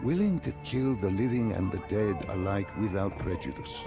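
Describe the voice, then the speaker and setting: An actor playing a solemn, philosophical male guide in a video game, probing and insistent, with formal, deliberate delivery. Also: fairly low pitch, lively intonation, gravelly, music in the background. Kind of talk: dramatic scripted game dialogue.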